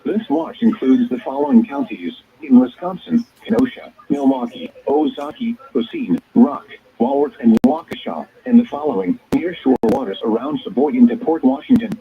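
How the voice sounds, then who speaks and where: A man's voice: on the high side, wildly swooping pitch, moderate pace, vocal fry, few pauses. Synthetic male weather announcer on tinny radio. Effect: weather radio broadcast. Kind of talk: scripted weather alert reading. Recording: tinny, narrow-band weather radio signal.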